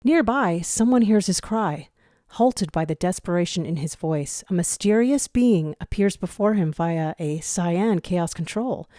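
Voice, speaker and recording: Dramatic low-toned woman storyteller, dry and close. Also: expressive, measured pace, mellow, no echo. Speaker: narrator of a fan fiction audio reading. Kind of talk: dramatic scripted story narration.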